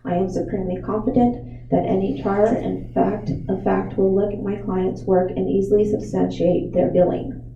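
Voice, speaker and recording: A woman serving as board secretary, reading a letter and the roll call in a measured, formal way. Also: medium pitch, fairly even intonation, gravelly, warm tone, slight background noise. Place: meeting room. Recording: echoey room, distant muffled mic.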